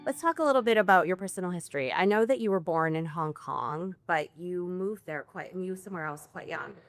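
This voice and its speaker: Low-voiced woman interviewer. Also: fairly quick, soft background music. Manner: conversational, prompting questions.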